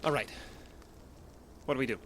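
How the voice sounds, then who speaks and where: A man's voice: moderate pace, fairly bright tone, a little hiss or hum, lightly acted. Actor as an earnest, anxious young man. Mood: uneasy, cautious. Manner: hesitant, pleading. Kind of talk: audio drama acting.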